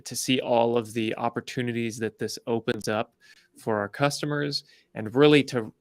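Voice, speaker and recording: Male product co-presenter, medium pitch, very dry sound, measured explanatory delivery. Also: steady tone, no room sound. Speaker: co-presenter from a software company.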